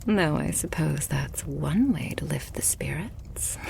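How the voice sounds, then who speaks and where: A woman playing a loving, possessive vampire girlfriend in an intimate ASMR roleplay, murmuring softly and breathily. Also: sits low, a little hiss or hum, heavily acted. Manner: soft, breathy, slow murmuring that often drops to whispers.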